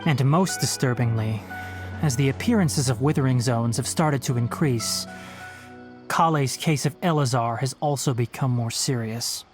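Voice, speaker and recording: A man voicing a young, knowledgeable forest ranger in an anime-style video game, articulate and stern, explaining in a measured way. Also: medium pitch, over music, lightly acted. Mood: grave, explanatory.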